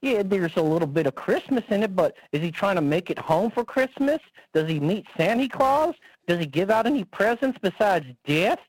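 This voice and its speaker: Brief: a man doing a brash folksy Texan caller, very high and swooping, dry sound. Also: big pitch swings, normal speed, no echo, poor audio. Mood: blustery. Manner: brash, folksy, keeps calling people boy.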